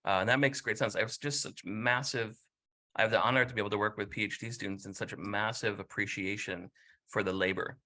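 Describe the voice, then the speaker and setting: Bright-voiced male academic interviewer. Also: on the low side, steady tone, brisk. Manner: warm, affirming, enthusiastic asides. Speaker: interviewer, professor.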